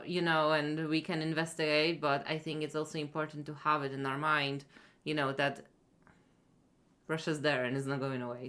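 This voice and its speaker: Low-voiced woman streamer. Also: a bit bright. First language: Polish. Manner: rambling, many fillers and restarts. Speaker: streamer reacting to a video. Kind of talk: casual reaction commentary.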